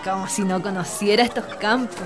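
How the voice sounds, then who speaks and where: A young woman playing a gentle, wistful daughter of a country estate in a radio play. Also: medium pitch, fairly even intonation, brisk, crowd noise, close to an everyday voice.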